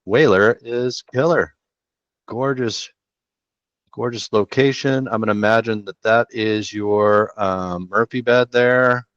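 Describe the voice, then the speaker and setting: A man's voice: lowish voice, steady tone, slow, fairly bright tone, no room sound. A middle-aged man hosting a real estate show, chatting casually and slangily through listings on a headset from a small room. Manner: laid-back, slangy, chatty. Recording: dull dry home recording.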